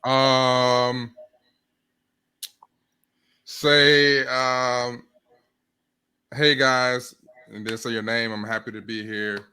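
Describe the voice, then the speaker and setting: A man hosting a podcast, asking questions in a relaxed, casual chat. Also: mid-range voice, drawn-out words, long pauses, smooth. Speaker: podcast host.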